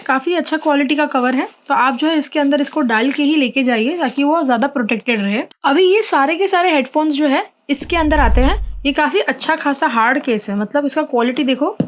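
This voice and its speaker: High-voiced Indian woman presenting a product demo. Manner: explanatory, addresses the viewer directly. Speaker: product reviewer and channel host. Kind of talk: conversational product demonstration.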